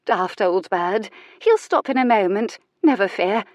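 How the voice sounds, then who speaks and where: A woman's voice: mid-range voice, animated, measured pace, dry recording, studio quality, close to an everyday voice. A woman voicing a dreamy, fluttery older noblewoman who exclaims easily.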